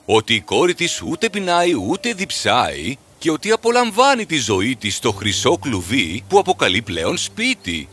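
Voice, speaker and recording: A man narrating a scripted fairy tale in a dramatic, expressive manner. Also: mid-range voice. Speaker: storyteller. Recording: music under the voice.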